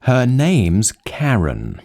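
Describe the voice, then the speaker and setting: A man reading lines of English course dialogues in an expressive, sing-song way. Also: medium pitch, very slow, gravelly. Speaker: dialogue reader in a language course. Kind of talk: slow, clearly read textbook dialogue.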